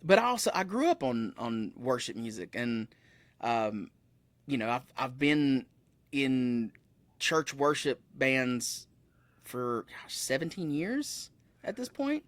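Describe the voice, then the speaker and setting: A man's voice: mid-range voice, very animated, slow pace, no room sound. A man in his thirties, a musician guest telling stories in a casual, rambling way with frequent self-corrections. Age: 30s. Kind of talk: casual interview chat. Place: room with metal posters behind him.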